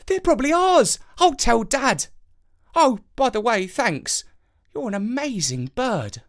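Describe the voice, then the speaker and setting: An eager, cheerful young storybook boy, acted out by a narrator. The pitch jumps far above the narrator's own and swoops about. He is excitable and keen. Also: measured pace. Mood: delighted. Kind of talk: children's storybook character voice.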